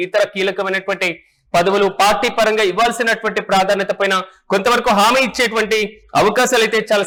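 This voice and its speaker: A man's voice: high voice, a bit bright, poor audio. A man presenting news and political analysis in a formal, repetitive manner.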